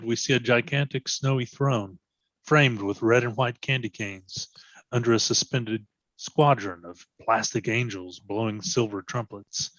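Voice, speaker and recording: A man narrates a script for readers theatre, describing scenes in measured phrases. His voice is on the low side, recorded dry and a little muffled. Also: fairly bright tone, no echo. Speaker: narrator reading stage directions.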